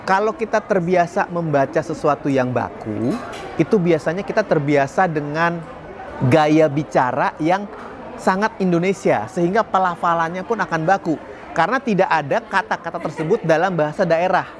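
A man's voice: on the high side, moderate pace, crowd noise, background noise. A man giving a chatty, upbeat talk to camera as a public speaking coach, laughing now and then at his own slips. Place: outdoors in a city.

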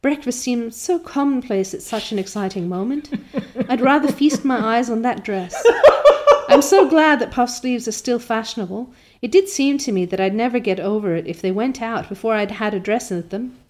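A woman's voice: on the high side, normal speed, a little mellow, a little hiss or hum, voice pushed up, lightly acted. Woman doing a rapturous orphan girl. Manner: gushing, breathless enthusiasm. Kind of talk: storybook reading.

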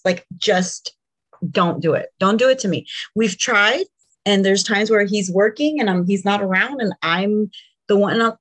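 A woman in her forties, a native Spanish speaker, co-hosting a podcast in a jokey, animated way and laughing often. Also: medium pitch. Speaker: podcast co-host. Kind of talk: casual chat.

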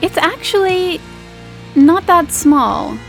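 A woman improvising narration as a game master, informal and halting as she feels out her descriptions. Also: extremely high pitch, expressive, gravelly, a bit bright, faint music behind.

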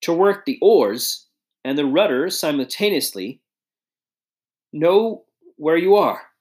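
A man reads a shy young inventor with a modest, earnest way of speaking. The pitch moves in lively swings. It sounds like a storybook. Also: slow pace.

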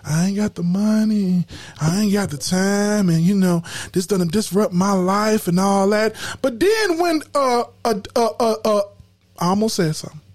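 A woman's voice: medium pitch, moderate pace, darkish tone. A woman hosting a podcast in casual, colloquial talk, emphatic and preachy, repeating words when fired up. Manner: colloquial slang. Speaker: podcast host.

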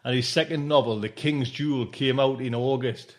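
A man's voice: mid-range voice, fairly even intonation, moderate pace. A man hosting a podcast in a casual, rambling, informal way, dropping in conversational tag phrases. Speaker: podcast host.

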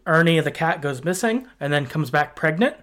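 A man's voice: on the high side, lively intonation, normal speed, a bit bright. A man hosting a podcast, talking casually and a little hesitantly as he recaps a video game's story. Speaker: podcast host.

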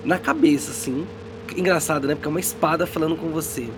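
A man's voice: a little high, fast, background noise. A Brazilian man narrating a tabletop game as game master in a casual, descriptive way, checking in with his players.

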